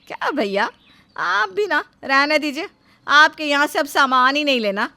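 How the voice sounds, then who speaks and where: A woman's voice: high-pitched, lively intonation, moderate pace, creaky, pushed and bright, no room sound, exaggerated voice. A woman playing a feisty older mother-in-law in a story, indignant and emphatic.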